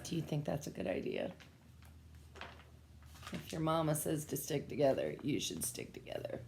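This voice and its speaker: A woman reading a picture book aloud as a teacher, pausing to explain and put questions to young children. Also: sits low, big pitch swings, vocal fry, darkish tone, a little hiss or hum. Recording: echoey room sound.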